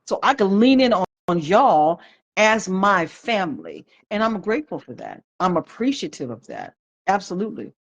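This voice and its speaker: A woman in her fifties hosts a live online chat, speaking in a heartfelt, reflective way and calling out viewers by name. Her voice sits in a middle pitch for a woman. The audio is dull and lo-fi, like a compressed livestream. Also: big pitch swings, moderate pace. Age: 50s. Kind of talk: casual live stream chat.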